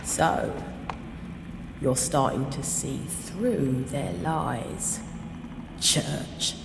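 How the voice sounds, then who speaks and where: A woman playing a hard, contemptuous zealot of a dark god in a video game, preaching with fervour. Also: low voice, creaky, echoey room, big-room sound, background noise, exaggerated voice. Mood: scornful, fervent. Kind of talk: dramatic video game acting.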